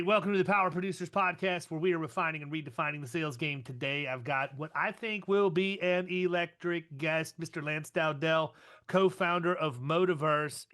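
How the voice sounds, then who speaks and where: High, bright-voiced man hosting a sales podcast, upbeat and teasing. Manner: upbeat, hype-style intros, teasing. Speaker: podcast host. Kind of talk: podcast intro and chat.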